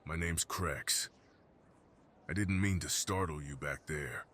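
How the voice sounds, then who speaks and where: Casting: male actor as gentle, lonely mountain guardian beast for ASMR fantasy roleplay; very low register, solemn and reassuring, some background ambience. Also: slow, slight background noise, heavily acted. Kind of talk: ASMR fantasy roleplay, slow intimate monologue.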